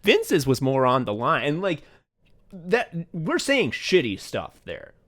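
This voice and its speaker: An American man hosting a comedy podcast, talking casually in an animated, sweary way. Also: a little high, takes it slowly. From United States. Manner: animated, sweary, laughs readily. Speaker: podcast host.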